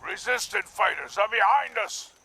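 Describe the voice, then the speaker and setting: An actor playing an aggressive scavenger guard in a strategy game, gravelly and forceful. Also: male voice, sits high, animated, moderate pace, exaggerated voice. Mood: alarmed. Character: aggressive male scavenger raider grunt on guard. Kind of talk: strategy game enemy barks.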